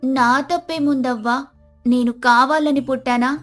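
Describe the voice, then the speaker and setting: A woman acts a timid, sorrowful orphan girl in a moral story. Her voice is high and plaintive, pleading as an outcast would, with music underneath. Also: steady tone, a little slow, a bit bright. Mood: hurt pleading.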